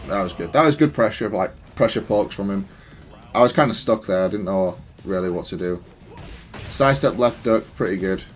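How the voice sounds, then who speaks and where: A man's voice: fairly low pitch, fairly quick, soft background music. A man giving casual, analytical gameplay commentary in a matter-of-fact, self-critical way. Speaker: gaming content creator.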